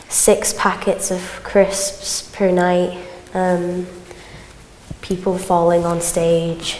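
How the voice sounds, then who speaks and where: A woman's voice: fairly low pitch, darkish tone. A woman casually sharing recollections in an interview. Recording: echoey hall, faint background noise.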